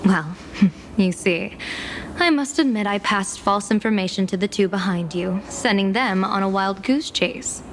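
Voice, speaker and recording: A woman's voice: mid-range voice, measured pace, a little hiss or hum, natural voice. A woman voicing a playful, scheming female general who is a seer, teasing and laughing often in a game cutscene.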